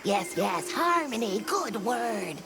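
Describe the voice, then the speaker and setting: Whimsical little woodland creature, slow delivery, very animated swoops, quaint broken grammar, eager, game cutscene. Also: female voice, on the high side, vocal fry, over music, heavily acted. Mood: pleased, whimsical. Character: small, eager, whimsical forest creature folk, honey-obsessed. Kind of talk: video game cutscene acting.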